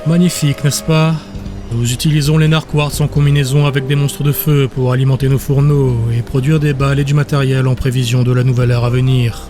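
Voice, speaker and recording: A man's voice: medium pitch, flat delivery, a little fast, over music, natural voice. A man voicing a courtly, ambitious villain with formal, smug politeness in a fantasy audiobook. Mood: proud.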